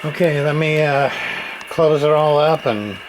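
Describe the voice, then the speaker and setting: A man's voice: on the high side, unhurried, background noise. A man testing and repairing an old radio, muttering test calls and meter readings in casual workbench commentary.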